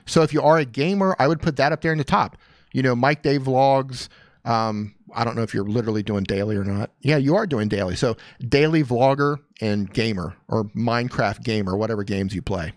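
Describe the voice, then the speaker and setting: Man, live stream host, casual coaching, upbeat, mid pitch, dry sound. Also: a little fast, no echo. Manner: upbeat, coaching, reads chat aloud. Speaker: YouTube coach reviewing channels.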